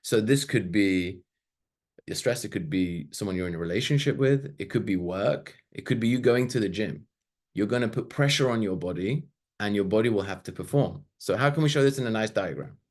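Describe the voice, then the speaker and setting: Man in his thirties with a fairly low voice; relaxed, chatty presenter who wanders into asides. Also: fairly quick. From Sweden. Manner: loose and chatty, with fillers and asides. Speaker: webinar presenter. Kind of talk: casual slide-based webinar talk.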